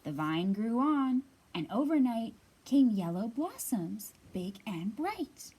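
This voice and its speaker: A woman librarian giving a storytime reading for young children, sing-song and expressive, pausing to ask the little listeners questions. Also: a little high, breathy edge.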